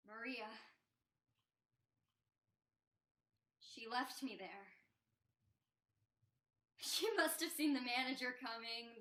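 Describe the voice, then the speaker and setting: A woman's voice: fairly high pitch, sharp tone. A young woman on stage playing a chatty, defiant female drug addict who rambles casually and evasively in a student one-act play. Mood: hurt, subdued. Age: young adult. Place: indoor stage. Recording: echoey stage recording.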